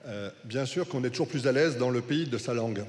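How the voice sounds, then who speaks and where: A man's voice: medium pitch, a little fast, darkish tone. Explanatory man in an echoey hall. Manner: organized, explanatory. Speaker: hotel group director, panelist. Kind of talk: conference panel answer. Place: conference hall. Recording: echoey hall with long reverb.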